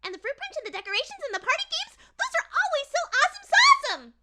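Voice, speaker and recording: A woman voicing a hyperactive, bubbly pink female pony who gushes in rapid squeals. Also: very high voice, wildly swooping pitch, heavy background noise, voice pushed up, heavily acted. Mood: excited.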